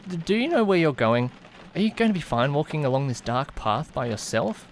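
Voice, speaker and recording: A man playing an anxious young student driver, narrating an eerie audiodrama in an expressive, brooding way with hesitant speech. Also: fairly high pitch, fairly quick, a little hiss or hum. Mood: hesitant, concerned. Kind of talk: first-person dramatic narration, visual novel audiodrama.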